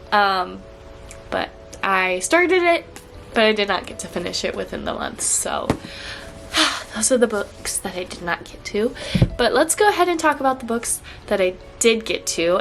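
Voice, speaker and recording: A woman's voice: medium pitch, fairly bright tone, a little hiss or hum. Young woman, congested and stuffy, bubbly casual book-review vlogger. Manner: upbeat, gushing, frequent fillers.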